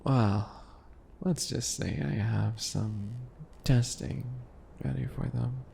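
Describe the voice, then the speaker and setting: A man playing a calculating, obsessive potion-maker in an ASMR roleplay, with a deliberate, mocking, theatrical delivery. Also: mid-range voice, fairly even intonation, gravelly, warm tone, a little hiss or hum. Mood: sinister, coaxing.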